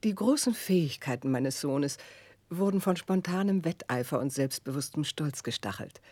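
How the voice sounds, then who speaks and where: A woman's voice: deep voice, expressive, moderate pace, a little mellow, no echo. An actress playing a proud, middle-aged mother who dotes on her son, speaking in a stately, formal radio-drama manner. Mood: proud, boastful. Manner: stately, formal, self-assured. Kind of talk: 1960s German radio drama acting.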